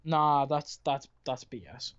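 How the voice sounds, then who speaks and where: Brief: young English male caller, teasing banter, slightly high voice, muffled line. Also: fairly even intonation, fairly bright tone, smooth. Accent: English, not Scouse. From England. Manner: banter-heavy, teasing, fast-talking football fan. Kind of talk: casual chat. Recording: muffled call-in audio.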